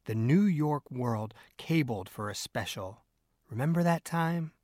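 A man voicing an excitable, scornful, bullying newspaper editor in an audiobook, with an emphatic, blustering delivery. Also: mid-range voice, measured pace, no room sound, studio quality, pitched above the performer's own voice, lightly acted. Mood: excited boasting.

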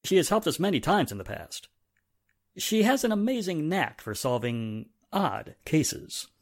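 A man's voice: a little high, very animated, unhurried, exaggerated voice. A man playing a confident, affable police inspector in a 1940s-style radio drama. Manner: businesslike, confident. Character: brisk, affable male police inspector, in charge.

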